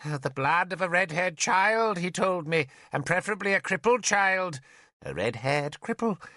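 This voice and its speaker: A man's voice: high voice, expressive, moderate pace, pushed and bright, no echo, studio quality, voice pushed up. A man reading an audiobook, voicing a broken, mad, blind old man who begs like a child and babbles on. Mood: rambling. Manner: whimpering, babbling, childlike begging. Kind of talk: audiobook character voice.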